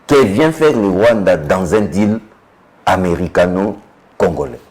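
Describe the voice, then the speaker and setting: Male host, low-ish voice, very lively intonation, interrupts and challenges the guest. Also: a little slow. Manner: pointed, skeptical, interrupts often. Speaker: TV show host.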